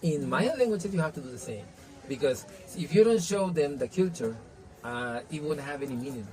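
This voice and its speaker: A man's voice: on the high side, animated, normal speed, a crowd in the background, rough recording. Earnest man, Spanish native speaker. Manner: earnest, frequent "you know" and restarts. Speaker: interviewee. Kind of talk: casual interview answers.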